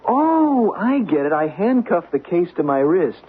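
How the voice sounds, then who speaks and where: Eager young assistant, tinny radio. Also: male voice, sits high, very animated, measured pace, breathy edge, exaggerated voice. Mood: surprised, eager. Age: young adult. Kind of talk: old-time radio drama acting. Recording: noisy, phone-like old radio broadcast.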